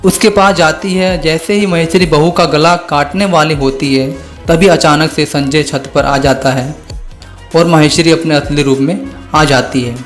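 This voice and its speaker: Higher-pitched male story narrator. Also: fairly even intonation, moderate pace, faint music behind.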